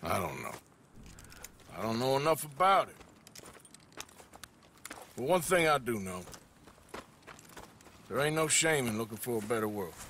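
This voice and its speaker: An actor playing a gruff, protective American outlaw in a Western video game, with a folksy, plain way of talking. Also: male voice, very high voice, sing-song, measured pace, fairly bright tone, a little hiss or hum, exaggerated voice. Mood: calming, gentle. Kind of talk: dramatic video game acting.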